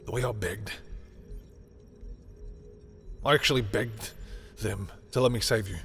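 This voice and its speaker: A man playing a lovestruck, bitter villain in an intimate audio roleplay, speaking in a halting, emotional way. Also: lowish voice, a bit bright, slightly rough, soft background music, full character voice. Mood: vulnerable, confessing. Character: lovestruck, bitter male villain confessing to a rival.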